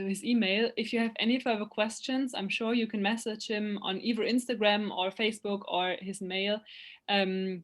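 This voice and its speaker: A young woman moderates an online event in a mid-pitched voice. She is friendly and a little hesitant, often filling pauses with "um" and "uh". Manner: friendly, hesitant, many fillers. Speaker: presenter.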